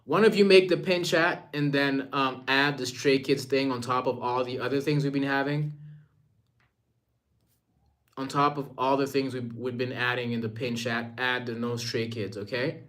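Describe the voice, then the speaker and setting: A young man from Rwanda hosts a casual live stream in a mid-pitched voice. He speaks informally and slangily to viewers, circling back to repeat his phrases as he goes. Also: fairly even intonation, moderate pace, fairly bright tone.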